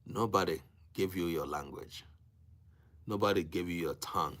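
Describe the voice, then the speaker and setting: A man with a Nigerian accent and Igbo as his native language, speaking as a teacher exhorting live-stream viewers in an emphatic, preaching way with repeated phrases and deliberate pauses. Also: low-pitched, a bit bright. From Africa.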